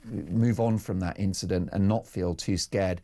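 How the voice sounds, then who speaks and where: A man's voice: deep voice, fairly even intonation, a little slow, mellow. A man hosting a talk radio phone-in, taking calls and putting leading questions to callers. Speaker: radio host.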